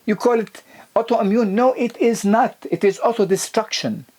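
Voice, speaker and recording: Husky man lecturing emphatically. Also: moderate pace. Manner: emphatic, lively rises in pitch on key points, many restarts and fillers. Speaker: health lecturer. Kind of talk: explanatory lecture video.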